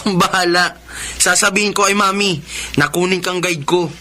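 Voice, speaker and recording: A man's voice: high-pitched, fairly even intonation, normal speed, pushed and bright, a little hiss or hum. A man playing a pampered, sulky young adult son in a radio drama, with a petulant, pleading manner. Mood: casual, teasing. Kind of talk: Tagalog radio drama acting.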